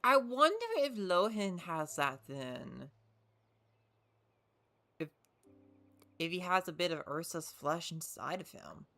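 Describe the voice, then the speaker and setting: A woman's voice: lowish voice, expressive, slow pace, pauses often, sharp tone. A woman game streamer giving casual live commentary, thinking aloud with some hesitation.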